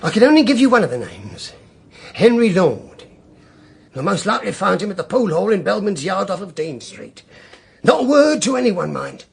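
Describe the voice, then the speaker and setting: An obsequious, greedy private eye for radio drama. The voice is high and husky, swooping about in pitch. He is cagey and hesitant, always ingratiating. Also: very animated, moderate pace, exaggerated voice. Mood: nervous, furtive.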